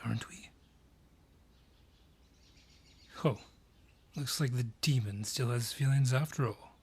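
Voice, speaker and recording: Man playing a breathy, slow-spoken, haughty forest dryad. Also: mid-range voice. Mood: taunting, defiant. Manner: taunting, condescending, deliberate. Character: haughty, sly male dryad ruling a forest, menacing and mocking. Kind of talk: fantasy audio roleplay acting.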